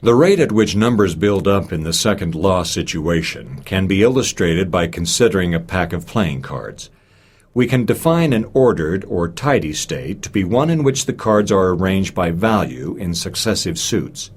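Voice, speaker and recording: A man reading a lecture aloud in a measured way, giving expressive emphasis to key phrases and quotations. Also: low-pitched, slightly rough. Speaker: reader of a recorded speech.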